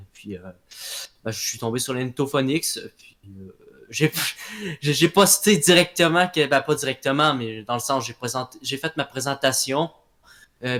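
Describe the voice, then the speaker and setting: A young man from Quebec with a Québécois French accent, a guest in a casual interview, talking with many pauses and restarts. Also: mid-range voice, fairly bright tone. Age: young adult. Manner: hesitant, many fillers and restarts.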